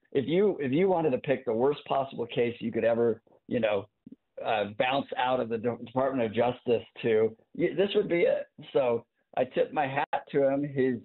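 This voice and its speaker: A man giving unscripted legal analysis as an interview guest over a thin phone-like line, in a halting, deliberate way. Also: mid-range voice. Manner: halting, deliberate, repeats words as he goes. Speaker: guest legal commentator, former prosecutor.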